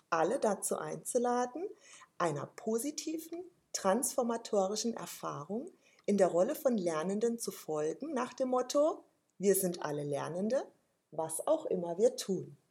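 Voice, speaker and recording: A woman's voice: fairly high pitch, a little mellow. A woman who reads a formal introduction and then interviews her guest. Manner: formal, carefully read. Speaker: podcast host.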